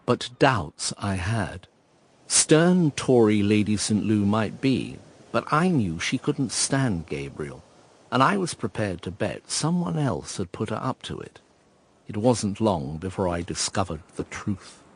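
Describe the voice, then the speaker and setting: A man's voice: lowish voice, big pitch swings, measured pace, breathy edge, heavily acted. An actor playing a wry, reflective man narrating his memories in a radio drama. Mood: rueful. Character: wry, reflective man recalling past events. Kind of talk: scripted first-person radio drama narration.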